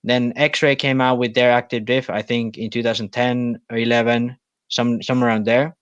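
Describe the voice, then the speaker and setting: A man's voice: mid-range voice, level tone, moderate pace, clear, resonant tone, no echo. Man explaining with many fillers. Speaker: podcast co-host. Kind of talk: casual podcast chat. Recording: dull, dry lo-fi mic.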